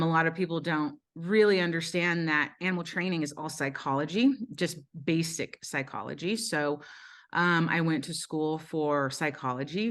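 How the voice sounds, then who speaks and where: Low-voiced woman telling her story earnestly. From Brazil. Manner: earnest, with frequent ums and self-corrections. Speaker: podcast guest. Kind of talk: candid personal storytelling.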